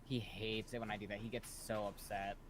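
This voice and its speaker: Tabletop player, relaxed social chat, middle-register voice, slight noise behind. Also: medium pitch, a little fast. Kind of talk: casual chat.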